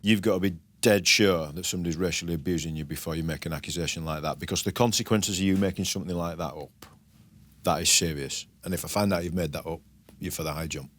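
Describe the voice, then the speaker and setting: A man's voice: low-pitched, steady tone, a bit bright. A middle-aged man with a Yorkshire accent, a head teacher speaking firmly and plainly about his school and pupils. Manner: firm, plain-spoken, measured. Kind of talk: documentary interview and school talk.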